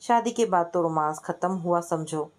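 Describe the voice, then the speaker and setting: A woman's voice: lowish voice, normal speed, darkish tone, lightly acted. Woman as breezy, joking young female cousin.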